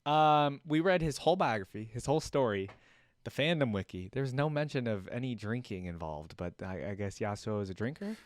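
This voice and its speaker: A man's voice: mid-range voice, lively intonation, a little fast, no room sound. A man giving casual, informal reaction commentary as a streamer, thinking aloud as he goes. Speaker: streamer reacting to game videos.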